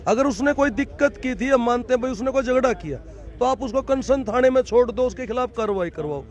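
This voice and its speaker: A man's voice: very high-pitched, animated, a little fast. A man at an outdoor protest speaking to a reporter in an indignant, emphatic way, repeating his points. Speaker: protest organizer. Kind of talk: impassioned protest speech to reporter.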